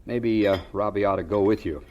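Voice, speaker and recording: Man as an easygoing low-voiced farmer dad. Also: fairly quick, a little mellow, a little hiss or hum, natural voice. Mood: calm, cautious. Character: genial, teasing farmer father. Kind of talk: radio drama acting.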